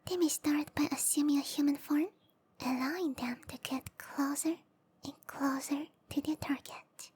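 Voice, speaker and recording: High, whispering seductive matchmaker played by a woman. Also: fairly even intonation, normal speed, darkish tone, natural voice. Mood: sultry, informative. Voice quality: breathy whisper. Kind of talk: whispered ASMR roleplay.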